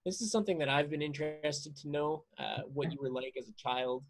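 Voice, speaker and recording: A man hosting a casual interview, putting his questions in a halting, deliberate way. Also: fairly high pitch.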